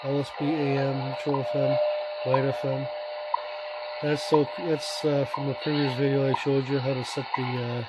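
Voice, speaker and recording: A man giving a casual, halting hands-on walkthrough as a radio hobbyist, in loose unscripted phrasing. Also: mid-range voice, level tone. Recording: loud radio static and hiss. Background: shortwave receiver noise.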